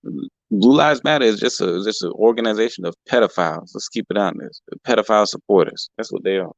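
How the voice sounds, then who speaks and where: A young man hosting a casual livestream, talking in a heated, profane way and repeating himself, his voice a bit rough from allergies. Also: lowish voice, a little fast, no room sound, highs cut off. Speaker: livestream host. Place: home in California.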